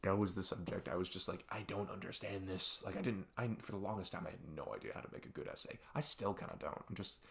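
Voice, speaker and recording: A young man playing a best friend who opens up in an intimate ASMR deep talk, rambling and halting, earnest and reflective. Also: animated, vocal fry, a bit bright, full character voice. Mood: reflective, candid. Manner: rambling, halting, reflective, frequent "like" and "you know". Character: earnest male best friend opening up late at night. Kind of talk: intimate ASMR audio roleplay, unscripted-sounding deep talk.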